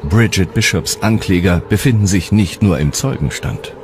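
Very deep male documentary narrator. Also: expressive, moderate pace. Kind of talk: scripted historical narration. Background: music.

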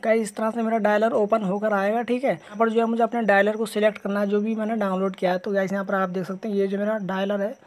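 A man's voice: high voice, flat delivery, quick pace, few pauses. A man narrating a step-by-step phone tutorial in an informal, repetitive way, addressing viewers as guys. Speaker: tech tutorial host. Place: voice-over on a phone screen recording.

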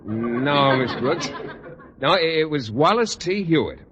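Earnest, lilting American schoolteacher. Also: male voice, on the high side, lively intonation, measured pace, dull audio, crowd noise. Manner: earnest, polite, literal-minded, hesitant. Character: earnest, naive, mild-mannered male high school teacher. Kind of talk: 1940s radio sitcom acting. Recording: noisy, reverberant band-limited 1940s radio recording.

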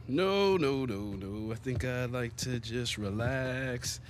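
A man's voice: medium pitch, slow pace, noisy background, close to an everyday voice. A man playing a genial, middle-aged business owner in a video game, folksy and pleased. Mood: pleased, relaxed. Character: contented, genial small-business boss eyeing retirement. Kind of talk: video game cutscene acting.

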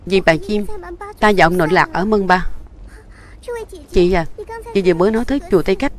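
Giọng nữ đọc cô bé bộ lạc chân thành. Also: female voice, fairly low pitch, fairly quick, natural voice. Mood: earnest. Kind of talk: Vietnamese voice-over dubbing of animated film.

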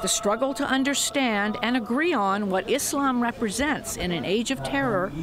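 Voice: medium pitch, normal speed. A correspondent reading a scripted news report as a voice-over.

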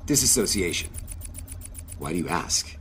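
A man's voice: low-pitched, big pitch swings, normal speed, a bit bright. A man playing a dry, guarded companion in an audio drama, with a laconic, teasing way of talking. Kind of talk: naturalistic audio drama acting. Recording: faint background noise.

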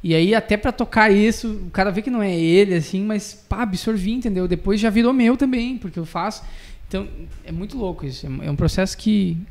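A man in his thirties with a southern Brazilian accent, chatting casually and haltingly into a close studio microphone. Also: sits high. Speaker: podcast guest, musician. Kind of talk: casual podcast chat. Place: small podcast studio. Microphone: close studio mic on boom arm.

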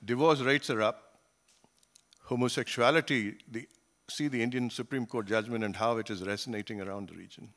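An older man gives a panel talk at a conference table, speaking into a gooseneck microphone. His delivery is measured and thoughtful, often pausing on fillers and restarting his phrases. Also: mid-range voice, fairly even intonation, a bit bright. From Singapore. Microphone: table gooseneck mic.

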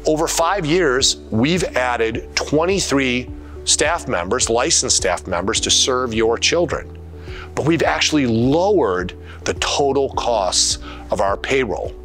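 A man with a mid-pitched voice speaks as a school superintendent delivering a community update. His phrasing is deliberate and emphatic, leaning on key words and itemized lists. Faint music plays behind his voice. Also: animated, fairly bright tone. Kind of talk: prepared video briefing to community.

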